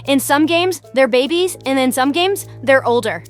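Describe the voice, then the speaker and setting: Casting: woman to play an earnest, eager small boy for audiobook, high-pitched. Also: animated, normal speed. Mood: excited. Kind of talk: audiobook character dialogue. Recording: music behind the voice.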